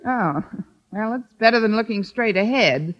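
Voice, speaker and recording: A woman playing a wisecracking high school English teacher with dry, sardonic timing in an old-time radio comedy. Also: lively intonation, normal speed, muffled recording. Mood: wry, dry. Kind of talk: 1940s-50s radio comedy acting.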